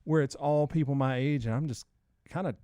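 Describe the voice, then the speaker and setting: A man's voice: mid-range voice, brisk, warm tone, no room sound. Male podcast co-host, 40s, mid-range voice, loose slangy storyteller, close dry mic. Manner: informal, slangy, rambling. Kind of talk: casual banter and storytelling.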